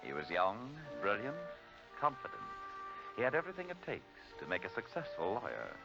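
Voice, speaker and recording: An actor playing a crime-story narrator with theatrical, deliberate 1940s radio delivery. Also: male voice, fairly low pitch, huge pitch swings. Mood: dramatic. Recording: muffled 1940s radio recording. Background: music.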